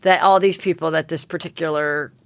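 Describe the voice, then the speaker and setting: Gossipy woman host, lower voice. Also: steady tone, a little fast, smooth, no echo. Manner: gossipy, rambling questions with many "like"s. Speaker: podcast host. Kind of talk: casual interview chat.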